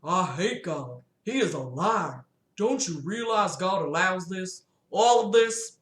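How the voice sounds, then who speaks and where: A woman voicing a gruff, cold-hearted middle-aged father in a flat drawl, imitating a well-known cartoon dad. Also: fairly low pitch, takes it slowly. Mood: bitter. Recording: roomy echo.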